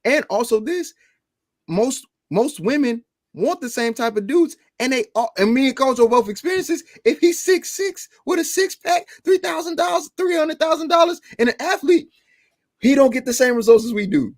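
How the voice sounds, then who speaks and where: A man on a podcast panel debating dating, animated and argumentative. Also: very high-pitched, normal speed, no echo, clean studio sound. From Atlanta area. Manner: animated, argumentative, laughs mid-point.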